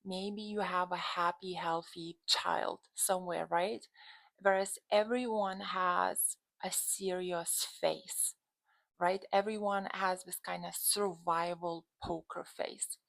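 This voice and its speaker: A woman's voice: lowish voice, fairly even intonation, slow pace, gravelly, fairly bright tone, dry recording, rough recording. A woman from Lithuania with a Lithuanian accent, talking casually to camera as a coach in an emphatic, conversational way. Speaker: dating and relationship coach, channel host.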